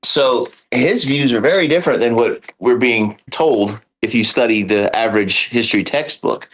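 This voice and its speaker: A man who teaches elementary history, explaining in earnest with many pauses and fillers in a casual podcast chat. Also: mid-range voice, fairly bright tone, rough recording.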